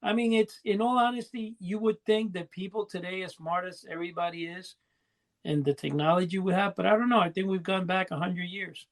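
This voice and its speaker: A man's voice: high-pitched, fairly even intonation, fairly quick. A middle-aged or older man co-hosting a podcast, talking in a rambling, conversational way. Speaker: podcast co-host. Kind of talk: casual panel chat.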